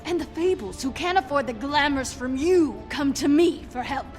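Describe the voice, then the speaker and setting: A woman's voice: high voice, lively intonation, normal speed, a little mellow, faint music behind. An actress playing a bitter, defiant old witch woman in a dramatic video game, indignant and pleading. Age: older.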